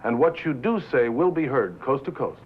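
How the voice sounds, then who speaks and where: An actor playing a smooth, insistent broadcasting executive in a 1950s film drama, formal and coaxing. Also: male voice, medium pitch, expressive, normal speed. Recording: tinny, narrow 1950s film soundtrack, faint noise.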